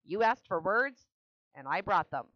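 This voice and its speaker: A woman's voice: on the low side, animated, measured pace, dry recording. A woman voicing a grumpy, sulky male barn rat who grumbles sarcastically. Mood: grumbling. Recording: dull lo-fi audiobook recording.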